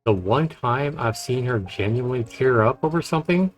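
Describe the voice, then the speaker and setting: A man's voice: mid-range voice, expressive, moderate pace. A man streaming a game, reading story text aloud and adding casual commentary. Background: game music.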